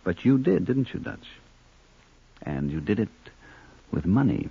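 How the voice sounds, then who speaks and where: An actor playing a mock-genteel, menacing hired killer turned blackmailer, deliberate and insinuating, in old-time radio crime drama. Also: male voice, on the low side, sing-song, creaky, husky, phone-quality sound, full character voice. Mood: quietly menacing.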